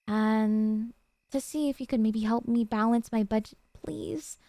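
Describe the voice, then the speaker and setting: A woman acts out a possessive young yandere girlfriend for an ASMR roleplay. Her voice sits fairly high and is cutesy and coy, with hesitant asides that turn needy. The sound is very dry and intimate. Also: measured pace, creaky, warm tone, no room sound. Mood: sweet pleading.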